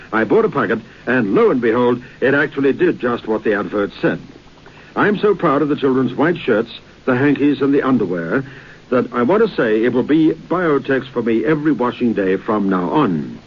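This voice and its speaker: Guy doing an old-timey radio ad, chatty and persuasive, muffled with hiss. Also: mid-range voice. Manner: chatty, persuasive address to listeners. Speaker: radio advertiser. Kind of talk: 1950s radio commercial.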